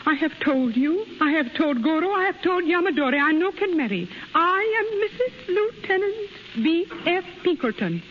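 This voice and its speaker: High, tender young wife. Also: female voice, big pitch swings, moderate pace, few pauses. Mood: firm. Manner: earnest, simple broken English. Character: young Japanese wife, tender, faithful, stubbornly hopeful. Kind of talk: 1930s radio acting. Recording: muffled hissy 1930s radio broadcast.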